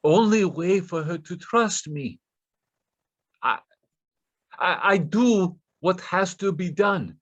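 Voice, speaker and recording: An actor playing a desperate, nervous Russian scammer with a Russian accent, stammering deferentially in broken English in a play reading. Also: male voice, sits high, takes it slowly, pauses often, muffled recording, full character voice. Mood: pleading, placating.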